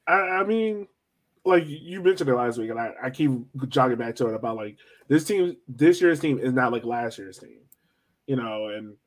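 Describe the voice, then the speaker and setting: Male American podcast co-host, mid-pitched, for relaxed conversational soccer match breakdowns. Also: moderate pace. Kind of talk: casual soccer match analysis chat.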